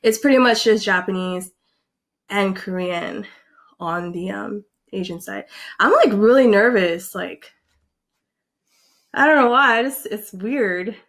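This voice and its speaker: A young woman vlogging casually, reacting in an animated, excitable way and filling her talk with likes and ums. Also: medium pitch, slow pace, long pauses. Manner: animated, excitable, filler-heavy with frequent likes.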